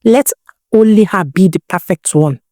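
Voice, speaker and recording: A woman narrating a moral folktale as a storyteller, in an expressive, emphatic manner with rhetorical questions. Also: mid-range voice, moderate pace, no echo, studio quality. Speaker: storyteller, channel host.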